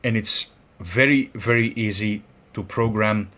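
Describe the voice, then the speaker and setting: A man with a Belgian accent walks through a hardware setup step by step. He speaks slowly and pauses often, filling the gaps with "uh" and "um" while he hunts for the right word. His voice sits on the low side. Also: bright, forceful tone. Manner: halting, many fillers, searching for words. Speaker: tutorial presenter. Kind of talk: instructional demonstration.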